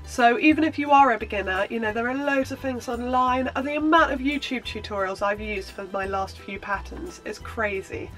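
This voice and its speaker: A woman's voice: fairly high pitch, moderate pace, hardly any pauses, a bit bright, soft background music, rough recording. A woman sewing vlogger with a blocked nose from a cold, chatting in a bubbly, rambling way with lots of ums. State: congested from a cold. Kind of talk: casual chatty show-and-tell.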